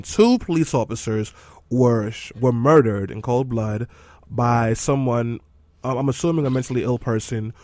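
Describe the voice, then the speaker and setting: A man with a hoarse voice co-hosting a talk radio show in a studio, joking with his co-host and then speaking seriously about the news. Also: medium pitch, darkish tone, no room sound. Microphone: studio microphone.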